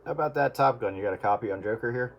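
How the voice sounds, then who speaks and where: A man's voice: medium pitch, racing, gravelly, dull audio. A man making casual check-in chat as a radio hobbyist. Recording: loud radio static and noise.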